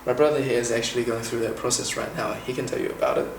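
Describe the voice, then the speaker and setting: A man in his twenties from China talks through a casual question-and-answer session, measured and pausing often with "uh." He is recorded from a little way off in a noticeably echoey room. A faint background hiss sits under his voice. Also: mid-range voice, fairly even intonation, recorded from a distance. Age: 20s. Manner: measured, with frequent "uh" pauses. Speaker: interviewer and former international student. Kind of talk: informal Q&A, explaining and asking questions.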